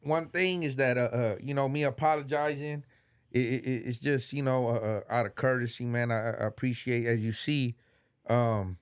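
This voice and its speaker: A man's voice: medium pitch, steady tone, normal speed, clear, resonant tone, no room sound. A middle-aged man hosting a podcast in a loose, rambling way, congested and full of slang and fillers. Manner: rambling, filler-heavy, laid-back slang. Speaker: podcast host. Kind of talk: casual chat.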